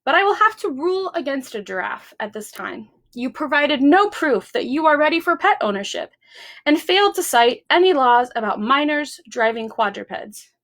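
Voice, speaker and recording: A woman voicing a firm, formal storybook mother who hands down measured, officious rulings like a judge. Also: high-pitched, sing-song, fairly bright tone, heavily acted.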